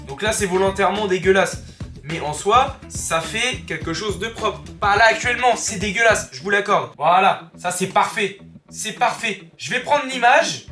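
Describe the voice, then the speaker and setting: A young man with a cold talks high and loose, cracking crude jokes as he walks viewers through a tutorial. His voice rings around a roomy space with music playing clearly underneath. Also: sharp tone. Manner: chatty, joking, crude slang. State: has a cold. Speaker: YouTuber teaching software. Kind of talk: casual screen-recorded tutorial. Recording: roomy room with background music.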